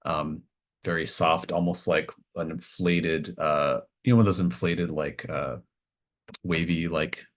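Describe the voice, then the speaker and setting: A man working as game master, improvising narration and chatting casually in a halting, searching way. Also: extremely low pitch, steady tone.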